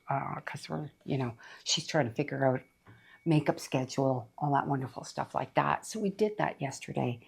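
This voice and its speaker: Low husky middle-aged woman rambling about books, voice rough from being sick. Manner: rambling, chatty, many fillers and asides. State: voice rough from recent illness. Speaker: book reviewer. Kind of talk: casual book review chat.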